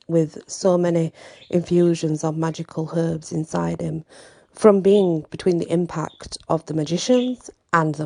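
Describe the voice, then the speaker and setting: A woman reading an ASMR bedtime fantasy story with deliberate, dramatic phrasing and short pauses, close to the mic. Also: deep voice, creaky, mellow.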